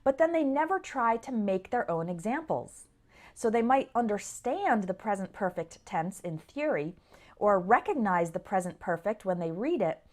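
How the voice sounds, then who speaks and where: A woman English teacher giving an instructional lesson, explaining things clearly and speaking directly to learners. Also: mid-range voice. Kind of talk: instructional lesson to camera or listeners.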